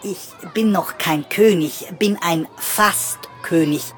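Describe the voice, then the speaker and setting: Storybook figure: a bratty, boastful little lightning prince, higher and drawn out, put on by a male narrator. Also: slow pace, fairly bright tone, faint music behind. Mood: grumpy. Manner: boastful, grumbling and demanding.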